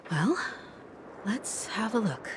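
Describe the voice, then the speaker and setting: An actress playing a composed, idealistic young woman adventurer in a game, speaking in an earnest, measured way. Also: medium pitch, big pitch swings, noisy background.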